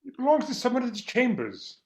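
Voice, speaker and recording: A man's voice: very high-pitched, huge pitch swings, fairly quick, a little mellow, exaggerated voice. A man playing a stifled, lovestruck English attorney in a comic stage reading, fussy, earnest and self-deprecating. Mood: bashful, eager. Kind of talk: comic stage readers theater acting.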